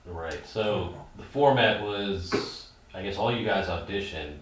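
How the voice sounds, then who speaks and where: A man's voice: deep voice, takes it slowly, a little hiss or hum. A man interviewing someone in a casual, conversational style. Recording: very echoey room, distant mic.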